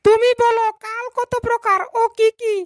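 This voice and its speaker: A woman's voice: very high-pitched, little pitch movement, normal speed, no echo, clean studio sound. A woman voicing a polite young female schoolteacher in a comedy cartoon, instructing her pupils. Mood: teacherly, questioning. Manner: instructing, addresses pupils in turn.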